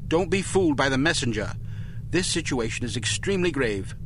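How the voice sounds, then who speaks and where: Guy doing serious commanding spy boss from a video game, formal and measured, noticeable rumbly noise in back. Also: animated, a bit bright, lightly acted. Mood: grave, measured. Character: grave, decisive spy chief, older authority figure. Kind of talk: video game cutscene acting.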